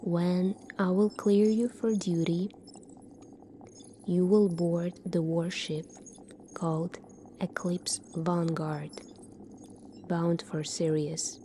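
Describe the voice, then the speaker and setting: A woman performing an ASMR sci-fi roleplay as a calm, secretive military doctor, speaking softly and deliberately, at times near a whisper. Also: lowish voice, a little hiss or hum.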